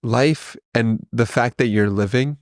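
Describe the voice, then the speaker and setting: A man's voice: mid-range voice, unhurried, no echo, clean studio sound. A man in a casual spiritual conversation, talking thoughtfully and affirming, with easy laughter. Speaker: podcast guest.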